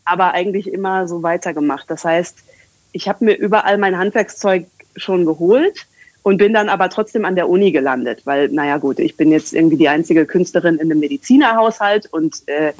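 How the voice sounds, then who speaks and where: A woman's voice: lowish voice, brisk, darkish tone, no room sound. A woman in her thirties, a communication coach and English trainer, talking in a casual, rambling interview with many fillers.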